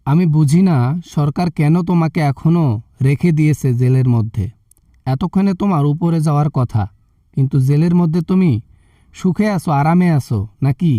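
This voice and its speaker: A man's voice: on the high side, moderate pace, mellow, no echo, clean studio sound, distant mic, lightly acted. A man with a Bangladeshi accent playing a stern religious party leader in a comic phone skit, scolding and preaching threats.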